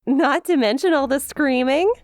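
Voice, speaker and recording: A woman's voice: high-pitched, moderate pace, no echo, clean studio sound, lightly acted. A woman playing a perky, smug demon imp in an ASMR roleplay, teasing with playful mock sympathy and a possessive streak. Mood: teasing, gloating. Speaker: audio roleplay performer.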